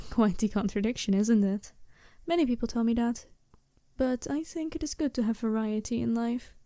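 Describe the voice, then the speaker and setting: A woman playing a smooth, businesslike and seductive werewolf bride in a soft-spoken ASMR roleplay, speaking politely and formally in measured phrases. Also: a little high, dry recording, clean studio sound, natural voice. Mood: courteous, curious.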